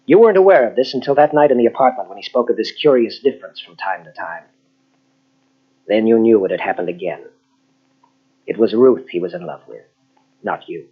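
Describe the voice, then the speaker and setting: An actor playing a grave, earnest psychologist in an old-style radio mystery, husky and deliberate, with dramatic pauses. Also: male voice, lowish voice, expressive. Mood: grave, accusing. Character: earnest, grave male psychologist confronting a killer. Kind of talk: 1940s radio mystery acting. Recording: dull lo-fi old radio tape.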